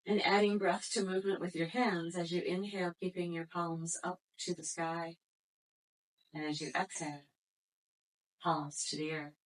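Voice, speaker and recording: A woman instructor talks a class through floor stretches and breathing. Her voice sits a little low, and she gives each cue slowly and gently in a soothing way. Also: little pitch movement, rough recording. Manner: slow, gentle, soothing cues. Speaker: yoga instructor. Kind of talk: guided exercise instruction.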